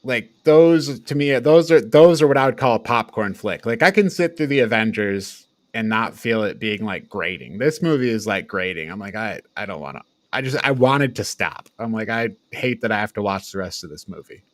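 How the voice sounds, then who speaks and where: A man's voice: on the low side, lively intonation, moderate pace, hardly any pauses, dry recording. Blunt, sweary man in dry-sounding casual chat. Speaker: podcast panelist reviewing films.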